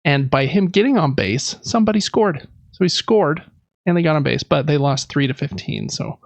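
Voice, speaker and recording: A man's voice: on the high side, lively intonation, normal speed, creaky, dry recording. A man in his thirties hosting a casual podcast, rambling along in a chatty, self-deprecating way. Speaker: podcast host. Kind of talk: casual chat about games and life.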